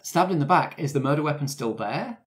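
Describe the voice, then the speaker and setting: A man's voice: fairly high pitch, expressive, a little fast. A man narrating an improvised tabletop game as game master, prompting the players.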